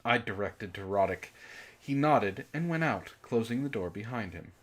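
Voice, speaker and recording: A man with a husky voice reading a story dramatically and breaking in with sarcastic, exasperated commentary. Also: fairly low pitch, animated, moderate pace. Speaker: book club reader and commentator.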